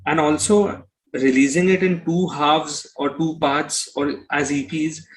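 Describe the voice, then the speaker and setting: A man's voice: on the high side, steady tone, a little slow, poor audio. A man giving conversational interview answers as a musician, explaining and rephrasing as he goes.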